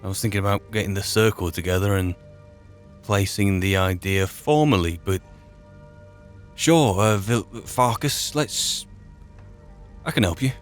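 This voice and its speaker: A man improvising in-character roleplay in a fantasy game, musing aloud in a halting way with pauses. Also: on the low side. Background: game music.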